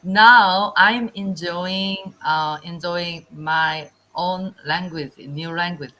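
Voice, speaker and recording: A woman in her thirties from South Korea with a Korean accent, an English learner talking hesitantly on a video call with many pauses and fillers. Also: on the low side, bright, forceful tone, rough recording. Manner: hesitant, halting with many ums. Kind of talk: casual online lesson chat.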